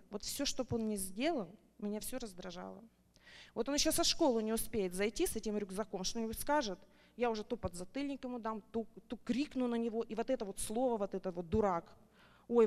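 A woman in her thirties shares a heartfelt church testimony, her fairly high voice climbing higher whenever her feelings run strong. The long reverb of a big meeting hall trails behind every phrase. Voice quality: animated and heartfelt, pitch rising high when excited. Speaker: church member giving testimony. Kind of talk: emotional personal testimony.

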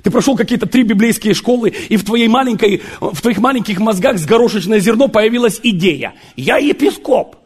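A man preaching a sermon, joking and animated, with sudden shouts and laughter. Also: high voice, brisk, few pauses, slightly rough.

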